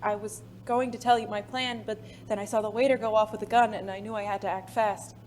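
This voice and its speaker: A woman playing a refined, secretive former spy in an audio drama, speaking formally and haltingly, with endearments. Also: medium pitch, fairly even intonation, a little mellow, slight background noise, lightly acted. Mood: tender, anxious.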